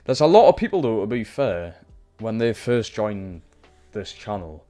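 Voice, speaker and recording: A man's voice: on the low side, sing-song, measured pace, dark tone, faint music behind. A young man with a Geordie accent commentating live on his football game, swearing and reacting to every pass. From Newcastle, England. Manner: sweary, frustrated reactions to play. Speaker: streamer playing a football career mode.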